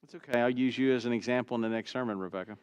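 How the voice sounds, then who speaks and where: A pastor with a booming, trained voice preaching an expository sermon, swinging from loud emphasis to quiet asides and asking "Why?" before he answers. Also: rapid-fire, clear, resonant tone, dry recording, muffled recording. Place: church sanctuary.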